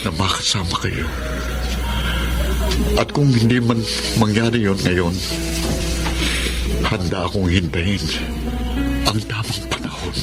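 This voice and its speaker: An actor playing an earnest, remorseful father in a Filipino radio drama, speaking in a measured way. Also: low voice, steady tone, over loud music. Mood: pleading. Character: earnest, remorseful father pleading with his family.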